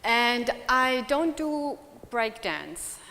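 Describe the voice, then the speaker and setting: A woman's voice: fairly high pitch, sing-song, drawn-out words, bright, forceful tone. German-accented woman presenting in a reverberant hall. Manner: chatty, joking, asks the audience questions. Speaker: conference speaker. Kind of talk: conference presentation. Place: conference hall.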